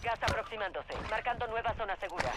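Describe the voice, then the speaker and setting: A woman playing a brisk military command announcer in a video game, clipped and formal. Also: on the high side, very noisy, natural voice. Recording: quiet under loud gunfire and clicks.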